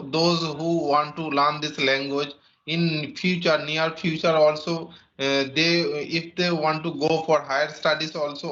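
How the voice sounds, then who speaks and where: Hesitant Indian-accented man, bright voice. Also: a little high, a little hiss or hum. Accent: Indian English. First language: Santali. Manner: hesitant, many fillers and repeated words. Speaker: English practice partner. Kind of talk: casual English conversation practice. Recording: muffled lo-fi audio.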